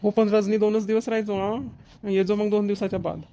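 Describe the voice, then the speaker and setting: Cartoon casting: man playing devoted young Varhadi-accented husband, earnest, reassuring, quick delivery on a flat, even pitch. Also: slight background noise. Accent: Varhadi Marathi, Vidarbha. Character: devoted, clingy young newlywed husband, eager to help. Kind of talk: cartoon family comedy dialogue.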